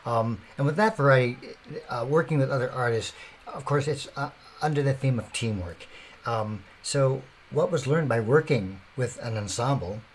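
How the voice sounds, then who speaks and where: A man hosting an interview, asking gentle, unhurried questions with frequent fillers. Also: mid-range voice, a little hiss or hum. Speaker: interviewer, host.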